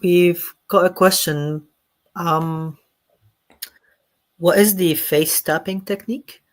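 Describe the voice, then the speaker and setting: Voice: mid-range voice, steady tone, slow pace. A moderator reading out audience questions during an online session.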